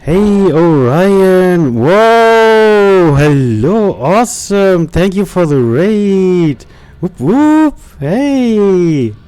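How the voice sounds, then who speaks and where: A man's voice: high voice, very animated, extremely slow, darkish tone, clear, resonant tone, dry recording. A man hosting a live stream, chatting casually and greeting people with effusive exclamations.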